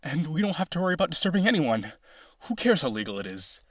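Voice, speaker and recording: A man's voice: on the high side, very animated, quick pace, no echo. A man playing a lonely, boastful vampire aristocrat in an audio roleplay, genteel, dramatic and insistent in his possessive wooing. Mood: coaxing, boastful. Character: lonely, boastful rich vampire brother, possessive yandere suitor.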